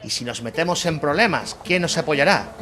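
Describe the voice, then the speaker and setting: A man's voice: fairly high pitch, expressive, fairly quick, bright, forceful tone, pitched above the performer's own voice. A man playing a worried, protesting policeman with an urgent, pleading manner in a war audio drama.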